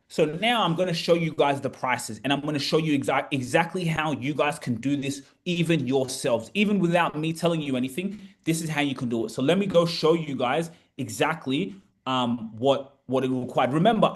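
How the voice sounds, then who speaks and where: A young Australian man in a casual vlog, telling a story with lively, repeated emphasis as a property coach. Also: fairly high pitch, moderate pace, few pauses. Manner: animated, repeats words for emphasis.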